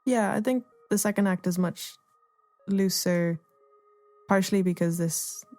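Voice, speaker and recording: A woman's voice: medium pitch, unhurried, a little mellow, no echo, soft background music. A woman speaking thoughtfully and tentatively as an artist explaining their work in a reflective interview. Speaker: artist and playwright being interviewed.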